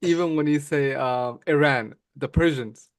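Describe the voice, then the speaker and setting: A man whose first language is Somali speaks in a measured, calm way during a casual podcast talk. His voice is recorded close and dry, with no room sound. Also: on the high side, dry recording.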